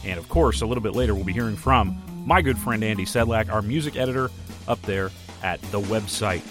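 A hoarse man, hosting a solo podcast and giving his opinions on films in a rambling, casual way. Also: on the low side, brisk, over music. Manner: rambling, opinionated, many fillers and restarts. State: hoarse from a flu. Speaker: podcast host and film critic.

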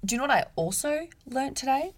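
A woman's voice: high voice, animated, quick pace. A young adult woman chatting casually with friends, explaining things bluntly and swearing freely. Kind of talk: casual chat among friends.

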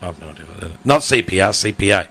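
A man in his thirties with a New Zealand accent, co-hosting a podcast in casual, teasing banter and telling stories haltingly. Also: deep voice, expressive, fairly bright tone, slight background noise. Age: 30s. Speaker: podcast co-host.

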